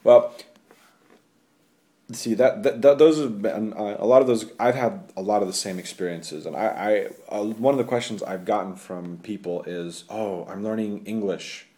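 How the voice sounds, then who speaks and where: A man's voice: fairly low pitch, moderate pace, warm tone. A man hosting a casual conversation, chatty and rambling, asking questions and telling stories. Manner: chatty, rambling, laughs at his own stories. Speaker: podcast host.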